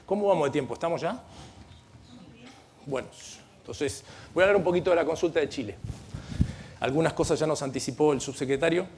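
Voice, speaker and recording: A man's voice: fairly high pitch, a little fast, pauses often, darkish tone, slight background noise. Argentine man giving a panel talk. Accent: Argentine Spanish. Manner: earnest, explanatory, with frequent restarts and light asides. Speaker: panel speaker at a legal training event. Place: conference room.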